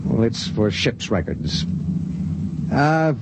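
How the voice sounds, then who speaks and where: Anguished spaceship pilot, animated delivery. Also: male voice, fairly low pitch, vocal fry, heavy background noise, exaggerated voice. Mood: tense, grave. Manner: halting, earnest, dramatic. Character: anguished, duty-bound spaceship pilot, grimly honest man. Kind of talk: 1950s radio drama acting and narration. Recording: old radio broadcast with music behind.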